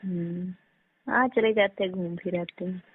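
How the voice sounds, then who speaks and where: A woman's voice: fairly low pitch, steady tone, moderate pace, breathy edge. A young woman chats casually on a phone call, often checking for agreement. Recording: narrowband phone line, faint noise.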